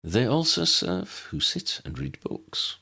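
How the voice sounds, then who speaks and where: A man voicing a bookish, courtly uncle in an audiobook, measured, wordy and wry. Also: low-pitched, huge pitch swings, gravelly, sharp tone, slightly rough, voice pushed down, exaggerated voice. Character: bookish, courtly uncle with ornate speech and calm wit.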